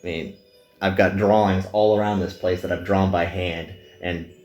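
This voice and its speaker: A man's voice: low voice, fairly even intonation, normal speed, darkish tone, faint music behind. A man hosting a gaming video, chatting candidly in casual, wandering commentary. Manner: candid, wandering train of thought.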